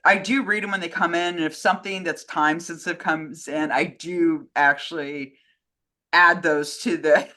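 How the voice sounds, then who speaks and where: Female co-host, bright and crisp-edged voice, informal unscripted chat. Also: on the low side, fairly even intonation, normal speed. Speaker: co-host, communications director fielding questions. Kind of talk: casual chat.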